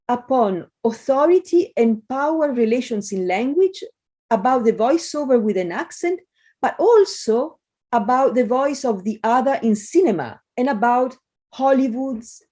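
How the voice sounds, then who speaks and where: A woman from Italy with an Italian accent, a scholar answering interview questions in a thoughtful way with frequent pauses and fillers. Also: fairly high pitch, dark tone. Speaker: guest scholar.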